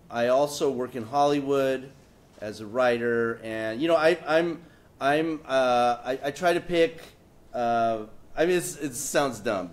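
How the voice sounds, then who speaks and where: A man who is a political cartoonist, speaking on a panel, joking wryly and pausing often with fillers. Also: mid-range voice. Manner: wry, joking, halting with many fillers. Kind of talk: casual panel talk presenting his work.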